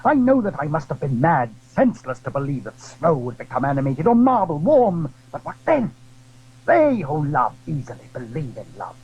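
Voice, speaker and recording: Reckless lovesick duke: high-pitched, swooping delivery, florid and breathless, dull audio drama recording. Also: male voice, wildly swooping pitch, moderate pace, a little mellow, breathy edge, full character voice. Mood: fervent. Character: ardent, lovesick English duke, reckless romantic nobleman. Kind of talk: theatrical audio drama acting.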